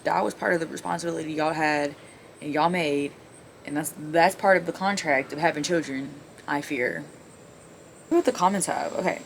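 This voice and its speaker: A woman with a fairly low voice reads online stories aloud in a casual way. She adds blunt, sarcastic asides and swears freely. There is noticeable noise behind her. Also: moderate pace. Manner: blunt, profane, sarcastic interjections. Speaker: video host reading online stories aloud. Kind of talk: casual commentary while reading stories aloud. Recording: clearly audible background noise.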